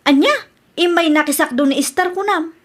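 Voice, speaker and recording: A woman's voice: very high voice, a little fast. A woman with an Ilocano accent playing a fed-up, accusing wife in a comedy radio drama. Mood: puzzled, anxious. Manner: complaining, exclamatory.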